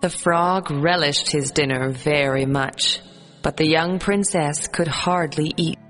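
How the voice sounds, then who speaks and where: A woman narrating a children's fairy tale as a storyteller, in a measured storybook reading. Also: deep voice, a bit bright, in a large hall, faint music behind.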